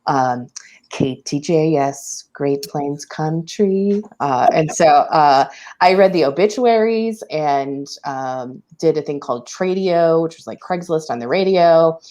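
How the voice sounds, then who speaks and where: Very low-voiced woman radio director. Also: slow. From Oklahoma. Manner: plain-spoken, steady, frequent ums. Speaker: radio station executive director, guest. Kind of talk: conversational interview answers.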